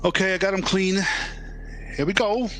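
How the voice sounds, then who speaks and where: A man's voice: high-pitched, moderate pace, a bit bright. A man playing a nervous, reluctant working-class morgue attendant in an old-time radio drama, colloquial and stammering. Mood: uneasy. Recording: noisy, humming background.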